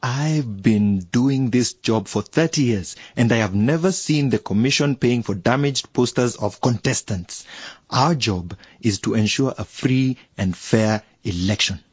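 An actor playing a brusque, condescending older electoral commissioner in a radio drama. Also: male voice, medium pitch, measured pace, breathy edge, dry recording. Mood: dismissive. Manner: condescending, curt, officious.

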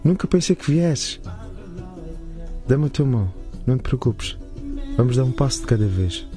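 An actor playing a gentle young man who talks softly and reassuringly in a radio drama. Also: medium pitch, animated, normal speed, music in the background, natural voice. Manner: soft, coaxing, reassuring.

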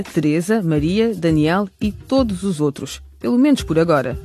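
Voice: medium pitch, mellow. A narrator reading a scripted outro for a radio drama.

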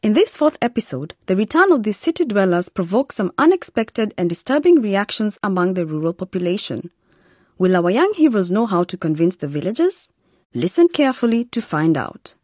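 A woman host giving a clear, scripted introduction to a radio drama episode. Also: mid-range voice, sing-song, fairly quick, darkish tone, no echo, studio quality. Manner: clear, announcing. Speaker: program host. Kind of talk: scripted narration.

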